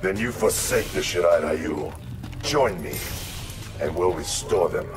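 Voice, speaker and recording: A man's voice: low-pitched, expressive, a little slow. A man playing a stern, honor-bound ninja warrior in a video game, speaking in grave, terse, formal phrases. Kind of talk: video game character acting. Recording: loud music behind, distant sound.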